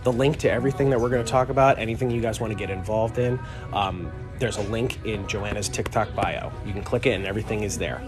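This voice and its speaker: A lower-pitched man talks loosely and unhurriedly, filling his sentences with ums and uhs as he explains things on a live stream. He is inside a pharmacy store, with music clearly playing in the background. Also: fast. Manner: relaxed, rambling with many fillers. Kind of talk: casual live-stream how-to talk.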